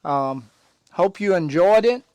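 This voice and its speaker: A middle-aged man, hoarse from laryngitis, talking casually to the camera as a farm vlog host under a sawmill shed, unhurried and full of pauses and fillers. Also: sits high, lively intonation, darkish tone, no room sound.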